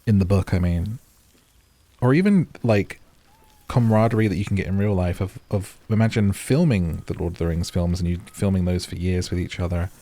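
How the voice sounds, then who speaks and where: Deep, creaky, relaxed male gamer. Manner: casual, musing, rambling. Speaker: video game streamer. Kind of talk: cozy, relaxed game commentary.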